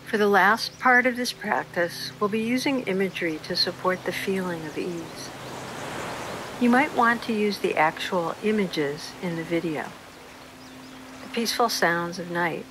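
A woman leading a guided meditation in a slow, soothing, gentle manner with unhurried pauses. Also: lowish voice, a little hiss or hum, rough recording.

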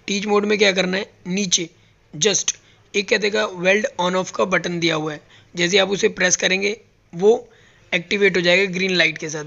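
A man's voice: high voice, creaky, bright, forceful tone, muffled recording. A man teaching robot welding step by step, explaining each button as he presses it on a factory floor. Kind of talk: hands-on tutorial.

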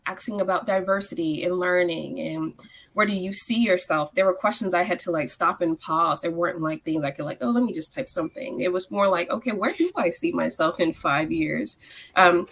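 A young woman tells a story on a video call, full of "like"s and quoted back-and-forth. Her voice sits a bit low. She is heard through a laptop mic. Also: brisk, creaky. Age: young adult. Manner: lively storytelling, frequent "like", acts out quotes. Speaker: guest. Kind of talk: casual video-call chat.

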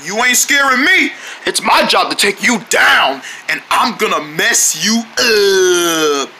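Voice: medium pitch, lively intonation, slow, pushed and bright, heavily acted. A performer voicing a brave, boastful male general in a cartoon, talking in folksy, slangy tough talk. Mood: threatening. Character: brave, swaggering, boastful male general, self-appointed hero. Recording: noticeable background noise.

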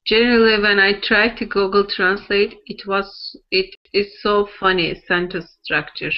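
A woman's voice: mid-range voice, fairly even intonation. Woman in a video class. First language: Turkish. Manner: careful, halting learner English. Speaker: student in an online class. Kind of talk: answering a teacher in learner English. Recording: dull lo-fi video call audio.

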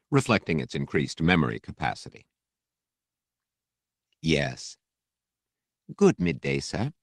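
Man, deep voice, animated pitch, dramatic fiction narration, audiobook. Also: no room sound. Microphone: close studio microphone.

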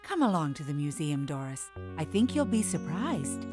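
A woman's voice: low voice, very animated, normal speed. A woman voicing a kindly, encouraging animal kindergarten teacher in a children's cartoon, with music behind.

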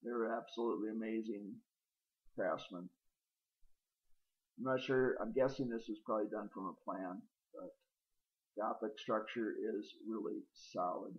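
A man's voice: lowish voice, moderate pace, long pauses, dull audio. A middle-aged man narrating a casual walkthrough of his old barn, hesitant and rambling with many ums and uhs, recorded on a handheld camera outdoors and inside the barn. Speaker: homesteader filming his barn.

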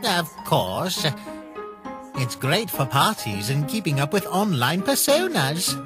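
A boisterous, naive skeleton from a cartoon comic dub. He enunciates grandly and exclaims with pride, his pitch leaping wildly. He takes his time, with loud music underneath. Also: sing-song, slow pace. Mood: cheerful, eager. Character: boisterous, eager, naive skeleton friend, theatrical and proud.

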